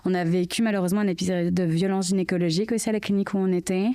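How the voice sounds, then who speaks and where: French-accented woman chatting on a podcast, kinda low voice, tons of "tu sais". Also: steady tone, racing, warm tone, clean tone. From France. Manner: chatty, filler-heavy, often says "tu sais". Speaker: podcast guest, mother of triplets. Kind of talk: casual interview storytelling.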